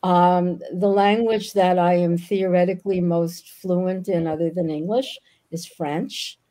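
An older woman whose first language was Yiddish, speaking as a guest author on a video call from a book-lined room, pausing often with ums. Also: lowish voice, steady tone, dark tone. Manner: thoughtful, frequent ums. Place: home room with bookshelves.

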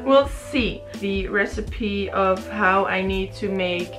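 A young woman, chatting casually to camera in her bedroom, a little sleepy and raspy, rambling with many fillers and restarts. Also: mid-range voice, takes it slowly, music in the background. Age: young adult. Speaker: beauty vlogger. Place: small bedroom indoors. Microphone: camera in front at close range.

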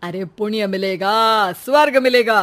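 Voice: mid-range voice, unhurried, a bit bright, close to an everyday voice. A performer voicing a principled, exasperated elderly father in a cartoon, speaking in a chiding, moralizing manner. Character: principled, exasperated elderly father, grey-haired family patriarch. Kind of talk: animated cartoon dialogue.

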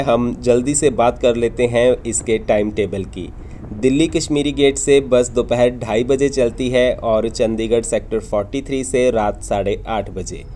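Male travel vlogger, mid-pitched and soft-toned, informative scripted narration over noticeable ambient noise.

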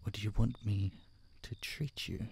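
A male voice actor plays an obsessive masked yandere kidnapper in an intimate audio roleplay. His low, gravelly voice moves slowly, slipping into murmurs as he taunts and dotes in a condescending, menacing way. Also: slight background noise. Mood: coaxing menace. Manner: soft, taunting, condescending, drawn-out murmurs and whispers. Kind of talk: intimate audio roleplay monologue addressed to the listener.

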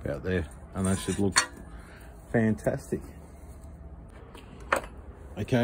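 Low-voiced Australian knife maker explaining. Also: male voice, a little hiss or hum. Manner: relaxed, practical, explains each step with fillers. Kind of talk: workshop tutorial voice-over. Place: home workshop.

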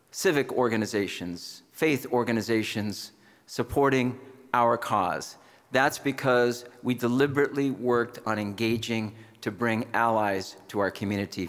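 A man's voice: mid-range voice, a bit bright. A middle-aged man hosting an awards dinner, speaking emphatically from a podium in a large banquet hall. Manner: emphatic, slow, builds to proud introductions. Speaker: event host presenting an award. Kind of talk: rousing speech at a podium. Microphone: podium microphone. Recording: long hall reverb with faint crowd.

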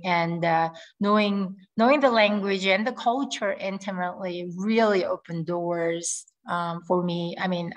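A middle-aged woman with a fairly low voice, a native Korean speaker, talks earnestly over a laptop webcam call from a room at home. She pauses with frequent ums and restarts her phrases. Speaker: guest air force officer. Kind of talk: video call interview. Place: home room with ceiling fan. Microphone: laptop webcam on video call.

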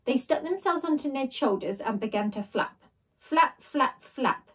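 A woman's voice: high voice, expressive, measured pace. A woman in her thirties, a teacher, reading a storybook expressively and chatting casually. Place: small bedroom at home, indoors. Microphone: device camera at desk distance.

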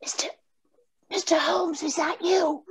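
A woman's voice: very high voice, fairly even intonation, a little slow, a little hiss or hum. A woman playing an anxious, genteel young fiancée in old-time radio mystery style, with a pleading manner. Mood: nervous, pleading. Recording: dull video-call audio.

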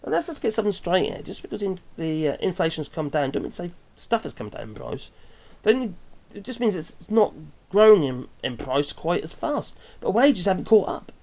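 A man's voice: high voice, big pitch swings, normal speed, creaky, darkish tone. A middle-aged man with an English accent talks through his opinions in a casual, rambling vlog style. He often breaks off to correct himself and adds chatty tag questions as he goes. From England. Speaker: vlogger commenting on news. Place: small wooden cabin room with open window.

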